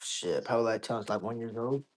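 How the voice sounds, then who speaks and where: A man's voice: medium pitch, fast, a little hiss or hum. A man from Georgia in the United States, chatting casually as an interview guest, blunt and slangy with plenty of swearing. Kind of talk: casual chat.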